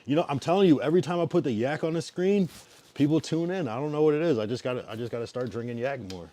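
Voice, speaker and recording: A man's voice: a little high, fast talker. A man in his thirties from the US East Coast, chatting casually and laid-back with viewers on a livestream, trailing off into mumbles. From East Coast US, Massachusetts and Connecticut. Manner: laid-back, drifts loud then mumbly.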